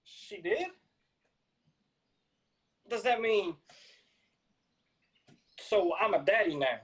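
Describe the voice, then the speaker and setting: Male actor as naive young mountain fellow, radio drama; Appalachian, breathy, slow, with lively pitch swings. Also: exaggerated voice. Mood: stunned.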